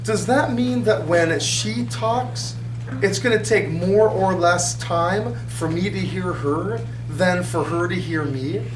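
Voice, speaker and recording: A middle-aged man teaching a high school physics class, chatting with students and often checking they follow. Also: slow. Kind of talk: classroom lecture. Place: classroom at a whiteboard. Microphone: far camera. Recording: echoey classroom from far off with hum.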